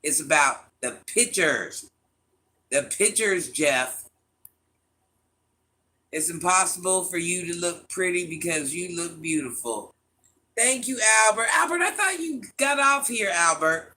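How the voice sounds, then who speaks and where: Woman livestream host, sharp bright voice, teasing and chatty with her chat. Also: lowish voice, animated, unhurried. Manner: teasing, talks straight to viewers by name, laughs often; calls herself Granny. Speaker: livestream host reading viewer comments.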